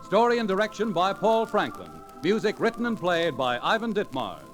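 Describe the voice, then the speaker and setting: A man's voice: high-pitched, big pitch swings, moderate pace. A man working as an announcer, reading scripted credits in a 1940s radio style. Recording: vintage radio recording. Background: music.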